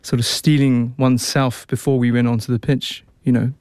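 A young man with a New Zealand accent talks easily as a radio guest, often restarting his sentences. His voice sits in a middle pitch. The sound is dry and close, with no room around it. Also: little pitch movement, normal speed, a little mellow, smooth, no echo. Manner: chatty, many restarts and fillers. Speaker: opera tenor, guest. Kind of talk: relaxed radio interview.

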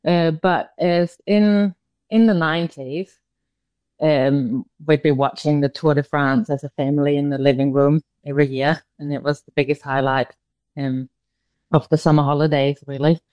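A woman's voice: low voice, fairly even intonation, normal speed, dry recording, dull audio. A middle-aged woman from Denmark, a former road cyclist, answering radio interview questions hesitantly with many fillers and restarts.

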